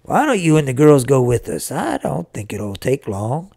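A storybook farm father , as a narrator puts him on, calm and steady. He speaks in a measured, creaky voice with gentle authority. The sound is dry and close. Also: medium pitch, dry recording, heavily acted. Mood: calm, reassuring. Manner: measured, reassuring.

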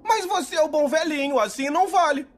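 An actor voicing a cowardly, hungry young man in a cartoon dub, excitable and whiny. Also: very high-pitched, brisk.

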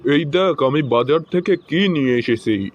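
High, teasing cartoon buddy, played by a man. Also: expressive, measured pace. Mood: joking. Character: wisecracking male cartoon friend and dad. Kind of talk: cartoon joke skit dialogue. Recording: dull, noisy lo-fi cartoon audio.

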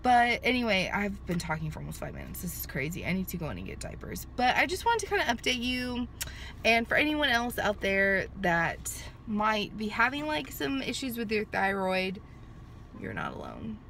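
A woman's voice: mid-range voice, huge pitch swings, moderate pace, creaky, a bit bright, slight background noise. A woman in her thirties chatting to a phone camera from a parked car, rambling with lots of fillers and restarts. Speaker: vlogger. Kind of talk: casual chat to camera. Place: parked car in a sunny lot. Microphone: handheld phone at arm's length.

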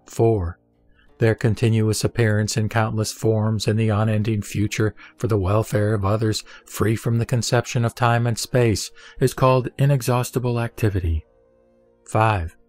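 A man reading Buddhist scripture as scripted narration, in measured, deliberate short phrases. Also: medium pitch, darkish tone, dry recording. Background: background music.

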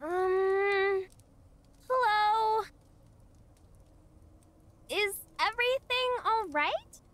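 A woman's voice: very high-pitched, extremely slow, a bit bright, heavily acted. A woman voicing a sweet, earnest young girl in a video game, polite and a little hesitant. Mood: concerned, coaxing. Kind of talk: video game character acting.

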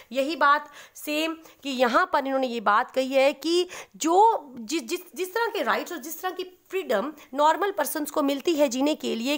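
A young woman teacher explaining handwritten notes, mixing Hindi and English, reading dates slowly with many fillers. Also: high voice. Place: indoors at a desk. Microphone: phone camera over notebook.

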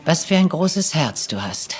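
Low-voiced, very expressive wealthy old lady. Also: measured pace. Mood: weary, confiding. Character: wealthy, frail old lady, sharp and wry. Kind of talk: German crime radio play acting.

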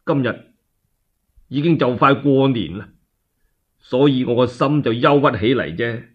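A man's voice: mid-range voice, measured pace, slightly rough, lo-fi sound. A man voicing a sorrowful, weepy middle-aged warlord, plaintive, hesitant and sighing, in a one-man radio drama. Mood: sorrowful, pleading.

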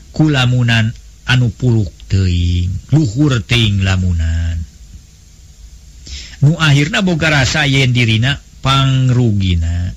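Man acting patient wise nobleman, elder brother, Sundanese accent, low voice, soothing advice, folk tale. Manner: soothing, advising.

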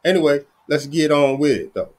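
A man's voice: on the high side, lively intonation, fairly quick, darkish tone. A middle-aged man from the Dallas area hosting an entertainment news channel, chatting casually and tagging "man" and "you know what I'm saying" onto everything. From Dallas–Fort Worth area, Texas. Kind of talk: casual news chat to viewers. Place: small room indoors. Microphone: webcam with headphones on.

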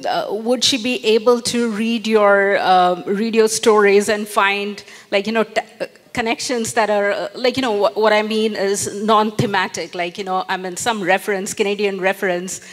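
A woman's voice: medium pitch, fairly even intonation, normal speed, few pauses. Hesitant woman moderator, hall echo. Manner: many fillers, laughs softly. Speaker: panel moderator. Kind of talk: hesitant, rambling questions. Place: large event hall with an audience. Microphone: handheld mic. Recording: long reverb of a hall.

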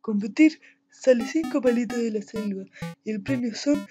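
A man's voice: very high-pitched, moderate pace, over music. A man with an Argentine accent voicing a glum, broke, scheming little ghost in a parody cartoon.

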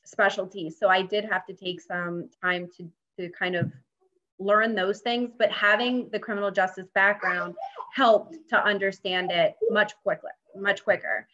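A woman's voice: medium pitch, fairly even intonation, measured pace, sharp tone. An American woman in her thirties explaining her work as a panelist on a video call. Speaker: panelist, mediator. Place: indoors, home dining room. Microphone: video call computer microphone. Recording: dull webcam video-call audio.

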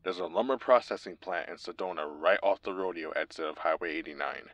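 A man's voice: low-pitched, a little fast, a bit bright, dry recording. A man playing a nervous, secretive corporate lawyer in an audio drama, speaking in an urgent, guarded, pleading manner. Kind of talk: audio roleplay acting, one side of a phone call.

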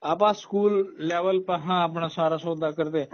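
A man's voice: on the high side, steady tone, measured pace. A man with a Haryanvi accent speaking as an official in a casual, insistent phone conversation, talking in roundabout hints. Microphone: phone call recording. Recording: dull lo-fi recorded phone call.

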